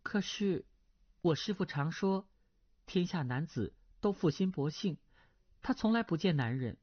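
A man's voice: fairly high pitch, expressive, measured pace, husky, pitched above the performer's own voice. A man voicing a naive, sheltered young woman who asks blunt, artless questions, as he reads a martial arts novel aloud. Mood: innocent curious. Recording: slightly dull lo-fi recording.

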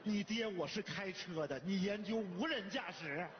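A man's voice: high voice, normal speed, close to an everyday voice. An actor playing a bossy, exasperated middle-aged father in a comic sketch, scolding bluntly with a northern Chinese Mandarin accent. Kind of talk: theatrical comic sketch acting. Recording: echoey hall, dull sound, faint noise.

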